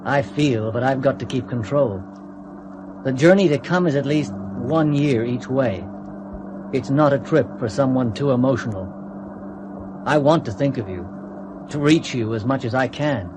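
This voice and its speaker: Brief: male actor as a controlled, detached astronaut, mid pitch, hissy vintage radio drama. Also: moderate pace, natural voice. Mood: guarded. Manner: restrained, emotionally guarded. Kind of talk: 1970s radio drama acting. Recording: noisy old radio recording.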